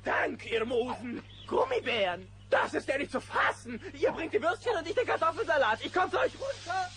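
An exuberant cartoon bear who makes sculptures. The voice is very high and swoops wildly, theatrical and scatterbrained, on a cassette radio play with faint noise. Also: male voice, big pitch swings, normal speed, fairly bright tone, exaggerated voice. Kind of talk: German audio drama acting for a cartoon. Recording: noisy old cassette transfer.

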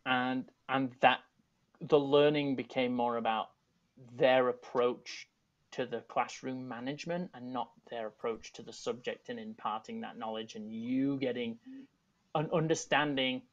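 A man in his thirties from England with a softened Yorkshire accent, a teaching artist and artistic director talking casually and thoughtfully on a video call panel, with frequent restarts and asides. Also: medium pitch, steady tone, a little slow. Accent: Yorkshire English, softened for Americans. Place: home room. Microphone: video call, earphones. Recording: dull lo-fi video call audio.

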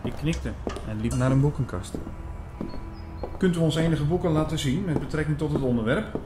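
A man playing an earnest priest, narrating an audio drama in a clear storytelling manner. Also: a little high, moderate pace, music in the background, lightly acted. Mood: earnest, watchful. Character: earnest male priest recounting his investigation.

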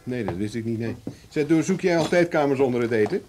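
A man's voice: medium pitch, expressive, fast talker, a little mellow, a little hiss or hum, lightly acted. A man playing a cool, wry private investigator in a radio drama, with a dry manner.

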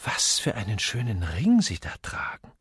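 Man playing a gallant gentleman, lively intonation. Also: lowish voice, normal speed, slightly rough, no room sound, clean studio sound, full character voice. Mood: gallant, probing. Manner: courteous, casually inquisitive. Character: gallant, smooth-mannered gentleman, casually probing.

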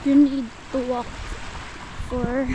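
A woman's voice: high voice, flat delivery, slow, warm tone. A young woman from the Philippines, chatting directly to viewers as she walks along a beach with a handheld camera. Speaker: travel vlogger. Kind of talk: casual walking vlog. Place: outdoors on a beach. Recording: noisy outdoor beach audio. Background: waves and surf.

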